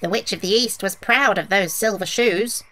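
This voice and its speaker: A man's voice: sits high, normal speed, pushed and bright, pitched above the performer's own voice. A man voicing an earnest, helpful little Munchkin man from fairyland in an audiobook, explaining things. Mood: earnest, informative. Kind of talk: audiobook character voice.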